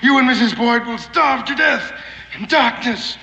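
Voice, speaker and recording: A fanatical sun-god zealot. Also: male voice, very high-pitched, unhurried, creaky, a little hiss or hum, exaggerated voice. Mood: imperious. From England. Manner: theatrical, grandiose storytelling, veering from hush to shouting. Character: fanatical English man, servant of a sun god. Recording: roomy, dull old TV soundtrack with reverb and music.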